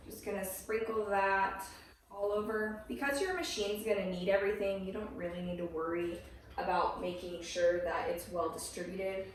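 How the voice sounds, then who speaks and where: A woman with a slight cold and a hoarse voice, chatting through a home cooking tutorial step by step in her kitchen. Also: mid-range voice, fairly bright tone, slight background noise. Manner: chatty, explains steps plainly. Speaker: cooking channel host. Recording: voice a little far from the mic.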